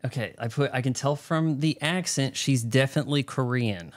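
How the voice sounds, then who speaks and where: A man's voice: mid-range voice, moderate pace. Joking man hosting a casual podcast. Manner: joking, storytelling. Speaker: podcast host. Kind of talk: casual chat.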